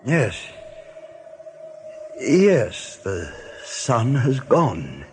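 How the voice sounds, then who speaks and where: An actor playing a world-weary, uneasy rabbit captain in a radio play, husky and guarded in his speech. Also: medium pitch, wildly swooping pitch, extremely slow, darkish tone, slight background noise, exaggerated voice. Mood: brooding. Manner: hesitant, guarded.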